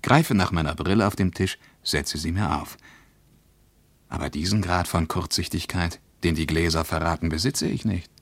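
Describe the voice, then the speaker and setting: Brooding deep-voiced male diarist. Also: fairly even intonation, normal speed, dry recording. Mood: tense, wakeful. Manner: introspective, sober, terse. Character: Ernst, brooding, observant German male traveller writing a diary. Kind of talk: radio play diary monologue.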